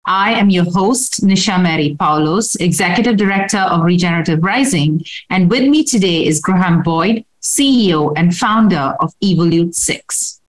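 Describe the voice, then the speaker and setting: Brief: female podcast host, slightly low voice, formal read intro, hesitant questions. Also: animated, moderate pace, hardly any pauses. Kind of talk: scripted introduction then casual questions.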